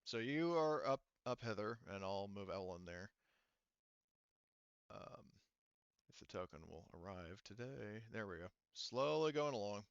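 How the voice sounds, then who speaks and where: A man running a tabletop game as game master, narrating casually in an informal, halting manner. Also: fairly low pitch, lively intonation, gravelly, clear, resonant tone, no echo. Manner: informal, halting, sometimes profane. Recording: dull, muffled lo-fi sound.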